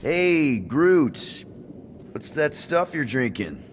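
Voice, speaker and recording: Young male lead, game space captain, high, swinging pitch, exasperated but good-natured. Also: sing-song, very slow, slight background noise, full character voice. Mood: friendly, tired. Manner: casual, hesitant, exasperated. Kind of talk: video game cutscene acting.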